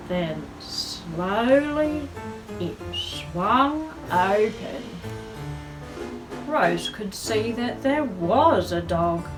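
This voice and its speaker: A woman tells a children's picture story at an unhurried pace. Her intonation swoops widely, and she speaks directly to young listeners with music playing behind her. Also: high-pitched, low-quality recording. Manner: chatty asides and questions to young listeners. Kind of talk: picture-book read-aloud.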